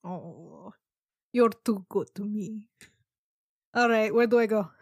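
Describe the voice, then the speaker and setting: A woman's voice: medium pitch, takes it slowly, no echo, studio quality. A woman playing a breezy, foul-mouthed gem expert in a casual tabletop roleplay game, with a drawling manner.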